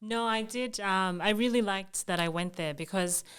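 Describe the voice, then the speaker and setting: A young adult woman from Zambia, a guest in an interview, speaking thoughtfully with frequent ums and soft laughs. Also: mid-range voice, moderate pace, clear, resonant tone. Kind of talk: casual conversational interview.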